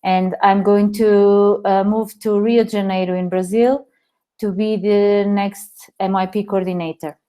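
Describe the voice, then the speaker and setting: A woman with a Portuguese accent presenting a webinar over a video call, halting often and restarting her sentences. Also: medium pitch, level tone, mellow. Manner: hesitant, frequent pauses and restarts.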